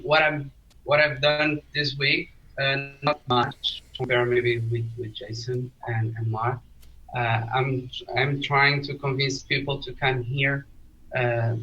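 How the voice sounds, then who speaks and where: A man from Portugal speaking English with a Portuguese accent, giving a halting update in an online meeting. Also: medium pitch, fairly bright tone. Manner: halting, searching for words, non-native phrasing. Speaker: community meeting participant. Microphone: video call. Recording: dull lo-fi call audio.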